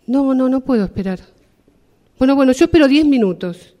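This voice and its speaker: Impatient, hurried woman, acted by a woman. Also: high-pitched, animated, dark tone. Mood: impatient, pleading. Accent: Argentine Spanish. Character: impatient, hurried working woman stuck in a queue. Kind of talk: improvised radio drama exercise.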